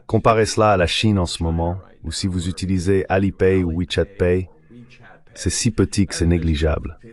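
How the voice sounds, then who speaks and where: A man's voice: sits low, darkish tone, natural voice. A man delivering an explanatory voice-over translation for an interview guest. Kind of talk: dubbed interview commentary, explanatory.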